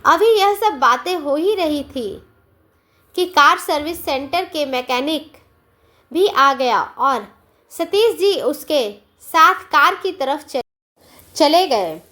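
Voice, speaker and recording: Lady telling a moral story, really high voice, lots of up and down in it. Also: expressive, slow, sharp tone. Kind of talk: scripted narration of a Hindi moral story.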